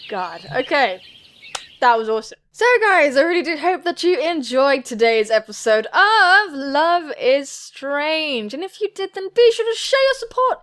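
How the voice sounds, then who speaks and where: A young woman hosting a gaming video with playful, animated, cheeky commentary. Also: sits high. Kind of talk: playful let's-play commentary. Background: music.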